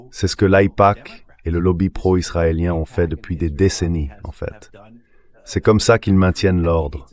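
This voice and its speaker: A man speaks in a very low-pitched voice. It is a synthetic voice-over translating an analyst's political commentary. The delivery is measured and explanatory. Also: darkish tone.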